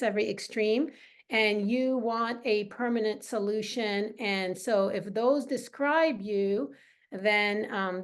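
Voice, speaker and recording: A middle-aged woman speaking as a wellness coach in an informal live training, earnest, conversational and personal. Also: mid-range voice. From Lebanese.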